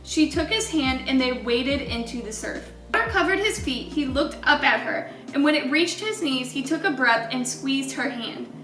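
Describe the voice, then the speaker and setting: A woman reading a children's story aloud in an expressive storytelling style, with deliberate, emphatic wording. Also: sits high, roomy sound, recorded from a distance, faint music behind, low-quality recording.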